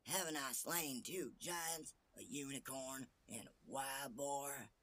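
A man voicing a brash, boastful little tailor in a storybook tale, loud and emphatic with drawn-out words. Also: medium pitch, voice pushed up. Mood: loud, threatening.

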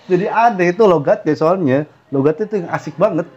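Pria bersuara tinggi, bercanda santai. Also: male voice, high-pitched, brisk, slightly rough. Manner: jokey, teasing, talks over his partner. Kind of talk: singing then casual chat. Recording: muffled lo-fi audio with loud guitar.

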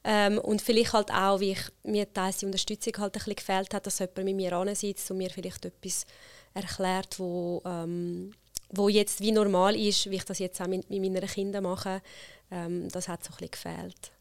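A woman's voice: medium pitch, level tone, moderate pace. A middle-aged woman with a Swiss German accent, chatting casually as an interview guest, with many fillers and frequent laughter. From Switzerland.

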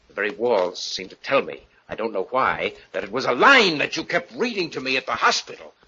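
An actor playing a tormented professor husband, obsessed and bewildered, in an old radio thriller with intense, deliberate dramatic phrasing. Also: male voice, mid-range voice, lively intonation, pushed and bright, slight background noise. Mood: agitated. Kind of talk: 1940s radio thriller acting. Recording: dull, muffled old radio recording.

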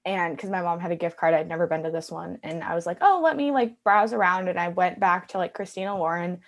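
A woman's voice: on the low side, quick pace. A bubbly woman hosting a podcast, chatting casually and laughing often. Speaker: podcast host. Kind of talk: casual chat.

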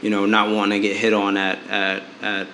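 A man in his late twenties with a low voice talks through relationship questions bluntly, rambling and restarting as he goes. His voice rings in an echoey room with a faint hiss behind it. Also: monotone, brisk, pushed and bright. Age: 20s. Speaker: podcast co-host. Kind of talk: casual advice chat.

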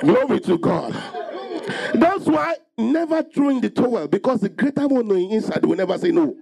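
Guy preaching a fired up sermon, really high pitched raspy creaky voice, repeats his lines for emphasis. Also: very animated, moderate pace, a little mellow, some chatter behind. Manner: emphatic, declarative, repeating phrases for effect.

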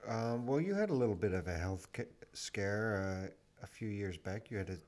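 A man's voice: lowish voice, expressive, normal speed, darkish tone. A man interviewing a guest, asking probing questions. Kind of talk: conversational interview.

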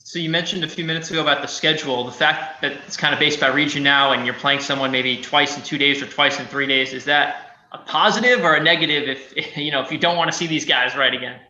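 Quick-talking reporter guy, sharp bright voice, echoey muffled room. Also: a little high, steady tone. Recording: echoey room, dull sound.

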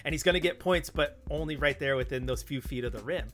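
A man's voice: on the high side, quick pace, a bit bright, soft background music. A man in a casual sports podcast discussion, emphatic and opinionated, repeating points for stress. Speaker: podcast co-host and basketball analyst.

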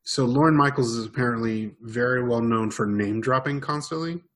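A man's voice: mid-range voice, normal speed, a bit bright. A man chatting on a podcast, telling a story casually, swearing and correcting himself as he goes. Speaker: podcast co-host.

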